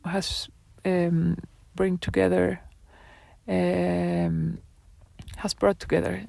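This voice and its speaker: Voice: mid-range voice, flat delivery, extremely slow, creaky, a little mellow, no room sound. A person presenting their own design projects in a live talk, speaking hesitantly with many fillers and restarts.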